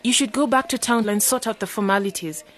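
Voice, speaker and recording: A woman's voice: fairly high pitch, fast, fairly bright tone, close to an everyday voice. An actress playing a caring, persistent young village woman in a radio drama. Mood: earnest.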